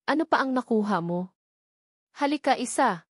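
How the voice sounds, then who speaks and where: A woman's voice: fairly high pitch, lively intonation, normal speed, husky, dry recording, studio quality. A woman voicing a defiant wounded male warlord in an audiobook, declaiming in formal proclamations. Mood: taunting. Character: defiant wounded male warlord rallying his brothers in battle.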